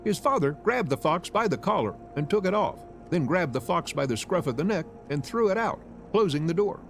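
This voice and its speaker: A man narrates a visual novel on stream with an expressive delivery. His voice is on the high side, very varied in pitch and creaky, with faint music behind. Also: brisk.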